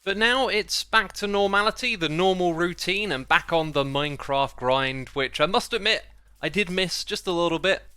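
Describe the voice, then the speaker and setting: A man's voice: sits high, normal speed, sharp tone, clear, resonant tone, no echo. A man chatting casually as he hosts a gaming video, close on the microphone. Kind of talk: casual gameplay commentary and chat.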